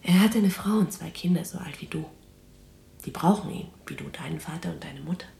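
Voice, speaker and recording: An actress playing a weary but defiant, tender imprisoned resistance fighter in a German radio drama, speaking in a breathy, halting, intimate hush. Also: female voice, sits low, roomy sound, a little hiss or hum. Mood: tender, wistful. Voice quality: breathy whispering. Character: exhausted, defiant, tender imprisoned resistance woman in wartime Netherlands.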